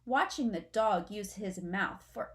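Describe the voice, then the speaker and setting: A woman's voice: medium pitch, expressive, unhurried, fairly bright tone. A middle-aged woman reading a children's story aloud expressively, like a teacher, in a room at home. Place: small furnished room at home, indoors.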